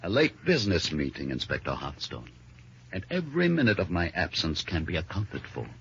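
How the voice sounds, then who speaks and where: A wealthy middle-aged husband in an old detective radio drama, full of wounded dignity. His voice is deep and very husky, swinging theatrically through formal, measured phrases. The recording is dull and lo-fi. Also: wildly swooping pitch, a bit bright, a little hiss or hum, heavily acted. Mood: grieving, formal. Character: smooth, defensive older wine merchant husband, wounded dignity.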